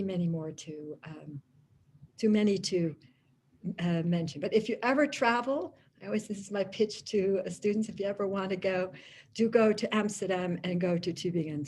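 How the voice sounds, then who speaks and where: Woman, Dutch accent, lecture talk, halting with fillers, faint room noise. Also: medium pitch, dark tone. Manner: thoughtful, many fillers and restarts. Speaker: guest lecturer.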